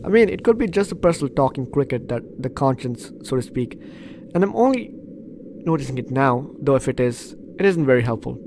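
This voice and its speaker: A man's voice: medium pitch, sing-song, fairly quick, a little mellow, slight background noise. A man doing playthrough commentary, reading game text dramatically and breaking off into reactive asides. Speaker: gaming YouTuber. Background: game music.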